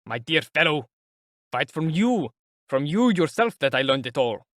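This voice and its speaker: A man's voice: fairly high pitch, big pitch swings, measured pace, fairly bright tone, dry recording, clean studio sound. A man playing a sly, sardonic investigator. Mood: probing. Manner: chatty, teasing, politely mocking. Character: middle-aged male investigator, sly, sardonic and jovial. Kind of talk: audiobook character voice.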